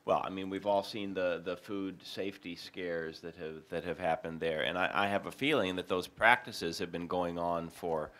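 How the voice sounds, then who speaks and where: A man with a fairly deep voice and a very even, flat intonation. He answers like an academic on a panel, matter-of-fact and hedging as he thinks aloud. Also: moderate pace, hardly any pauses.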